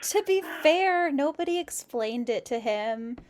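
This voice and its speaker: A woman reading a story aloud expressively, in the relaxed, chatty way of a casual co-host. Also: high-pitched, unhurried, crowd noise. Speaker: co-host of a fan fiction read-aloud stream.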